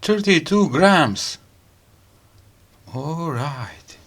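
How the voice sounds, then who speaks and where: A man with a non-native English accent giving a casual hands-on gadget demo in short, halting phrases. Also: fairly high pitch, animated, a bit bright. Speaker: gadget review host. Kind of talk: casual hands-on unboxing and product demo talk.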